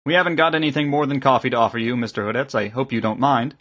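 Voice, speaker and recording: Man playing a hearty, husky river preacher. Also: medium pitch, fast, muffled recording, lightly acted. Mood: earnest. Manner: hearty, welcoming, gently correcting. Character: kindly, eager male river engineer and lay preacher. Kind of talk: audiobook character acting.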